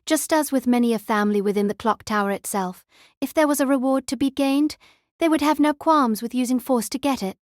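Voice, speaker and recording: A woman's voice: high-pitched, fairly quick, dry recording, studio quality. A woman reading scripted story narration as an audiobook narrator.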